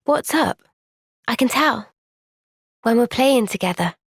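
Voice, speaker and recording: Young game heroine, high register, slow measured delivery, earnest, gentle, reflective soldier. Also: female voice, animated, breathy edge, no room sound, studio quality, heavily acted. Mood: thoughtful, earnest. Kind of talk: video game cutscene voice acting.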